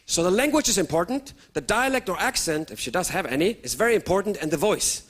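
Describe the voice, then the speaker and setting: A man, performing stand-up comedy for a live audience with lively outbursts. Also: high voice, quick pace. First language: German. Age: 30s. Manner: animated, with sudden loud outbursts and put-on voices. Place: stage before a live audience.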